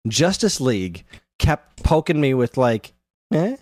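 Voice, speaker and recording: A man's voice: mid-range voice, very animated, measured pace, darkish tone, dry recording. Guy podcast host just chatting, acts out little bits as he retells stuff, dry close sound. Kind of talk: casual chat about films.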